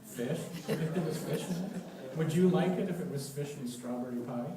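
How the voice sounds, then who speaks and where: Echoey, higher-voiced man reading a children's story. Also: brisk. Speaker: story reader leading children at a church. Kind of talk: read-aloud storytelling for children. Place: large echoey indoor church space. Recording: echoey room, distant mic, faint noise.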